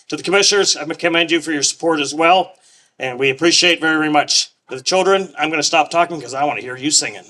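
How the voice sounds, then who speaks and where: A man's voice: fairly high pitch, sharp tone. A man, a state legislator, giving a folksy, joking speech outdoors at a courthouse ceremony.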